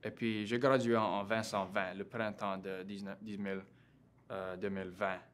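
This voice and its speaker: A young man in his twenties with a Cajun French accent, talking as an interview guest, halting and full of fillers and restarts. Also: fairly low pitch, level tone, fairly bright tone, a little hiss or hum. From Louisiana. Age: 20s. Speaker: interview guest, graduate student. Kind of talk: casual interview conversation.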